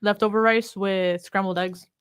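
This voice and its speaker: A woman's voice: medium pitch, steady tone, normal speed, clear, resonant tone. Hesitant chatty woman. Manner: hesitant, full of fillers. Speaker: podcast co-host. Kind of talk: casual chat.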